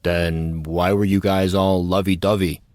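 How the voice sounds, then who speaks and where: Man as a low-voiced, pompous teenage boy. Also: level tone, unhurried, a little mellow, no room sound, studio quality. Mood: indignant. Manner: pompous, interrogating. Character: earnest, indignant teenage boy striving to sound dignified. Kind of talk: audio drama character dialogue.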